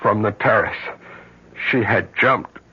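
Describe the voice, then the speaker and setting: An actor playing a sombre, self-reproachful middle-aged doctor who talks haltingly in an old-time radio drama. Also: male voice, on the low side, breathy edge, heavily acted. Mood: haunted, confessional. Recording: muffled old radio recording.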